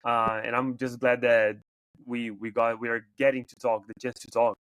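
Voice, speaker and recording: Halting Brazilian man hosting a podcast. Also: mid-range voice, little pitch movement, a bit bright, no room sound, low-quality recording. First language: Portuguese. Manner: halting, repeats words while searching for phrasing. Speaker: podcast host. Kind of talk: casual interview chat.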